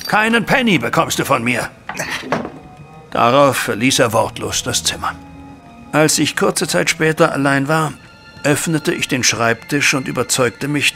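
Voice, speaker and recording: An actor playing a troubled middle-aged banker, a widowed father, recounting events formally and deliberately in a German audio drama. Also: medium pitch, bright, forceful tone. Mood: grave, agitated. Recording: music bed behind voice.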